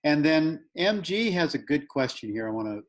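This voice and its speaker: A man's voice: fairly high pitch, sing-song, normal speed. A man hosting a book club, talking conversationally about spiritual matters and repeating words as he searches for phrasing.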